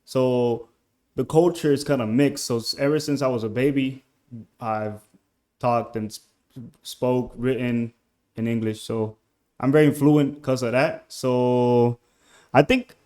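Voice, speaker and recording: A young man from Puerto Rico with a Puerto Rican accent and Spanish as his first language, a musician speaking hesitantly and softly in a casual interview over a call, often trailing off mid-thought. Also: mid-range voice, steady tone, unhurried. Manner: hesitant, soft-spoken, trailing off. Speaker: musician guest.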